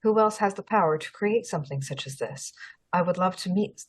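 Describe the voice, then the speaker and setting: A woman speaking as a player in a tabletop roleplaying game, measured and earnest in character and quick to laugh out of it. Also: fairly low pitch, slightly rough.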